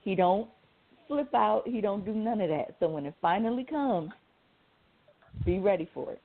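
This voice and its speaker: A man's voice: high voice, expressive, normal speed. A man with an American accent, bantering as a radio co-host in slangy, argumentative, swearing talk. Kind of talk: casual banter. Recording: narrow phone-like line.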